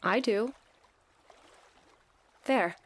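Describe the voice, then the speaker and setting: An actress playing a brooding, sardonic woman aboard a ship, speaking in a dry, deliberate, musing way in an audio play. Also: medium pitch, dry recording. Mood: wry, quiet. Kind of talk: theatrical audio play acting.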